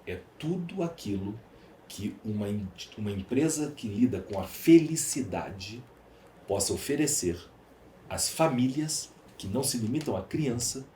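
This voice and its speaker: A man's voice: medium pitch, expressive, measured pace, warm tone, slight background noise. A Brazilian man speaking as an interview guest, conversational and emphatic, repeating phrases for rhetorical effect. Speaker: podcast guest, entrepreneur. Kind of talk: conversational interview, storytelling and opining. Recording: roomy, echoey sound.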